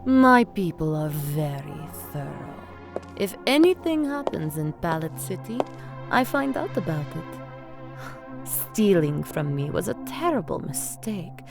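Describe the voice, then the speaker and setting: A haughty female crime boss in an audio drama. She is silkily menacing and condescending, with expressive, swooping pitch. Music plays clearly behind her. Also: slow pace, full character voice. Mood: smug menace. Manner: theatrical, condescending, mock-polite.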